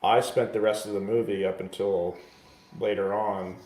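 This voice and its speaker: A man in a casual film discussion, speaking in a halting, self-correcting way in a roomy space. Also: on the low side, steady tone, a little mellow. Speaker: film review show panelist. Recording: roomy echo with faint noise.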